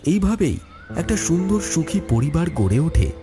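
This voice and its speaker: A man narrating a scripted horror story in an expressive storytelling manner. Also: a little high, normal speed. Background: music.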